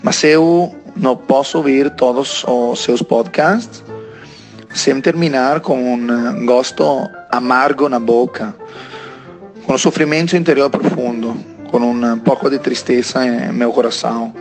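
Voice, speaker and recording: A man's voice: mid-range voice, steady tone, a little slow, faint music behind. A man in his twenties from Italy, an Italian speaker talking in Portuguese with an Italian accent, sending a listener message with hesitant phrasing and frequent fillers. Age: 20s.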